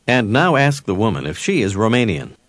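A man narrating a recorded language lesson, prompting the learner with clear scripted instructions. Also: fairly low pitch, very animated, brisk, dry recording.

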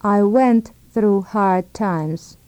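A woman narrating a scripted language lesson as the instructor, giving words and phrases one by one with measured repetition. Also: medium pitch, mellow, no echo. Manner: measured, word-by-word delivery with repetitions.

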